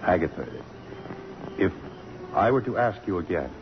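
An actor playing a suave, middle-aged college president, measured and earnestly romantic, in mid-century American radio drama. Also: male voice, deep voice, expressive, background noise. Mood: tender, earnest. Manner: measured, courtly. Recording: dull old radio recording, music behind.

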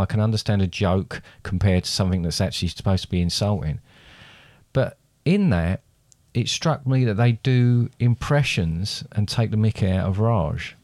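A man's voice: fairly low pitch, darkish tone, no room sound, studio quality. Hesitant man on a podcast. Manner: rambling, hesitant, with many restarts. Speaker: podcast co-host. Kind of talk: casual podcast chat.

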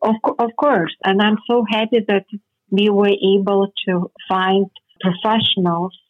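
A woman with a Ukrainian accent, a native Ukrainian speaker, answering interview questions earnestly in slightly halting phrasing over the phone. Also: mid-range voice, steady tone, husky. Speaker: interview guest, foundation representative. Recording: phone line.

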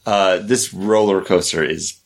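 A man co-hosting a podcast, chatting excitedly about theme park rides with lots of "like" fillers. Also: deep voice, a little slow, gravelly. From lives in Orlando. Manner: excited, filler-heavy storytelling. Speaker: podcast co-host. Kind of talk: casual chat.

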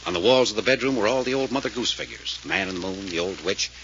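An actor playing a decisive, serious detective with a clipped, commanding manner in an old-time radio drama. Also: male voice, on the low side, animated, fast, a bit bright. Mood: grave, explanatory. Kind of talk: 1940s radio drama acting. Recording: muffled old radio recording, faint noise.

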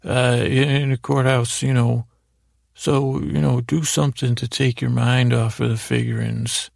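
A man hosting a bedtime podcast in a halting, meandering, gently silly monologue, with a gravelly, creaky voice. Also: medium pitch, little pitch movement, dry recording, clean studio sound. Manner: meandering, halting, trails off and loses his way between words. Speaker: podcast host.

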